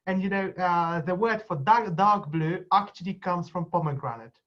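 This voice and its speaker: A man with a Polish accent, a native Polish speaker, chatting haltingly on a video call and filling every pause with "you know". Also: sits high. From Poland. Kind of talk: casual chat. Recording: muffled video call audio.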